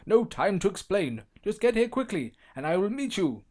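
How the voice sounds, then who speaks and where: Guy doing a highish earnest circus character for a kids cartoon, really lively delivery. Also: moderate pace. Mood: urgent. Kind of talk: scripted animated story dialogue.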